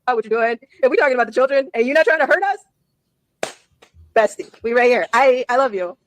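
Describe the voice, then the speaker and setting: A woman's voice: a little high, animated, brisk, slight background noise. A woman casually telling a story on a stream, chatty, laughing often and acting out the dialogue.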